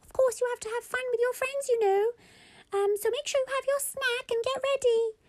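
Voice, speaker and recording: A woman voicing an exasperated, nagging mother with a squeaky voice in a children's story. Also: fairly even intonation, normal speed, a little mellow, no room sound. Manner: nagging, insistent.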